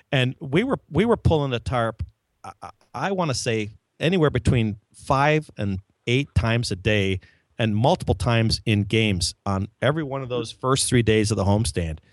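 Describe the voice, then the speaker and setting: A man's voice: mid-range voice, lively intonation, normal speed, dry recording. A middle-aged man hosting a casual chat, telling long rambling stories about his old grounds crew. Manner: rambling, many restarts. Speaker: podcast host, former head groundskeeper.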